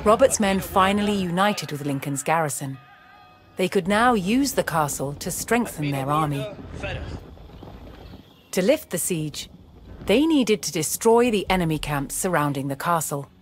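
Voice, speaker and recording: A woman delivering scripted, documentary-style campaign narration for a game in a measured, formal storytelling manner. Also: fairly low pitch, expressive, music in the background.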